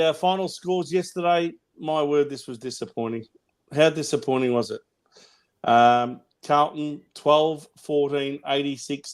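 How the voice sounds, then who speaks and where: A man with an Australian accent and a booming voice, hosting a casual football chat show and running through match stats in a rambling, opinionated way. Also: darkish tone. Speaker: podcast host.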